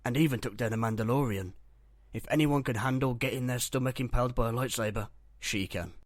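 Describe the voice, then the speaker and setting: A steady, reassuring medic aboard a starship, acted by a man. He has a mid-pitched voice and a matter-of-fact delivery, recorded very dry for a roleplay series. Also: a little fast, dry recording, close to an everyday voice. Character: calm, reassuring male ship medic. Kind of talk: Minecraft roleplay web series voice acting.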